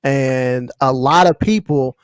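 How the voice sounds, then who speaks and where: A man's voice: mid-range voice, slow, clean tone, dry recording, studio quality. An American man on a podcast panel, explaining his points carefully in a casual conversational way.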